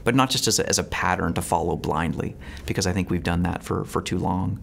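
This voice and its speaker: Male interviewee, very low gravelly voice, thoughtful and conversational. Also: a little fast.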